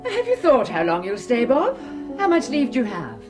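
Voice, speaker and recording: Brisk upper-class lady, very animated voice, music clearly behind. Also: fairly high pitch. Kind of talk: radio drama acting. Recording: radio drama with music behind.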